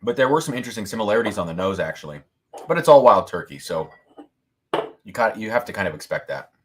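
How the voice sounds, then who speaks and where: Loud, excitable man chatting on a livestream. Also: on the low side, quick pace, breathy edge. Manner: loud, excitable, laughs often, reads chat aloud. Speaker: whiskey livestream host. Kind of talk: casual live-stream chat. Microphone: boom mic moved back from mouth.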